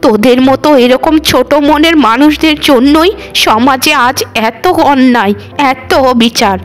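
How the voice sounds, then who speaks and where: A woman's voice: high voice, measured pace. A woman voicing a stern old village mother-in-law in a cartoon, declaiming dramatically. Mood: furious scolding. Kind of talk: cartoon voice acting.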